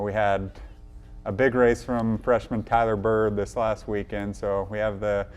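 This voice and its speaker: A man with a smooth, fairly low voice speaks as a college coach in a casual interview. His intonation stays level, and there is faint music behind him. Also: darkish tone. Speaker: college cross country coach.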